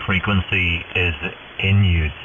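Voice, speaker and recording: A middle-aged man talks in a rambling, hesitant way as a radio amateur. His voice is deep and comes through a narrow shortwave link, with steady static around it. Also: takes it slowly, far from the mic. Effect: shortwave radio transmission. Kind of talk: casual radio chat. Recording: muffled, narrow-band radio with clear static hiss.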